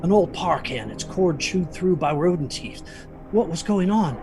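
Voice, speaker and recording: An actor playing a haunted older grandfather, husky-voiced, telling a ghost story of his youth with halting intensity. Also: on the high side, animated, darkish tone, a little hiss or hum, natural voice. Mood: haunted, tense. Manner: halting, intense, repeats himself. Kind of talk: dramatic storytelling.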